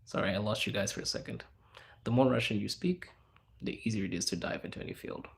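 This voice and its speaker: A young man hosting a casual livestream, answering chat in a hushed inside voice because people are around him. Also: on the low side, fast talker, gravelly. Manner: speaking quietly in an inside voice, laid back. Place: out in public in a city, people around.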